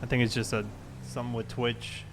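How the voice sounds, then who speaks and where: A man's voice: medium pitch, little pitch movement, fairly quick. Casual American man streaming, faint noise behind. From South Carolina, United States. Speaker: live streamer roleplaying a police officer. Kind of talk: casual livestream chat with police radio roleplay. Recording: clear background noise, vehicle sounds.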